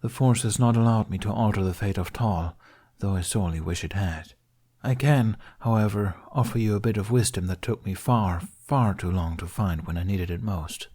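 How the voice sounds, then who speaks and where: Man as older male mentor, storybook character, low voice, wise, gentle, measured counsel. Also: breathy edge. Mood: gentle, reflective.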